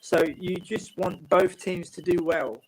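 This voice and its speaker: A man from England, a football writer and guest, talking in a calm, measured way with long explanations. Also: sits high, poor audio. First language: English. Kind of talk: casual panel chat.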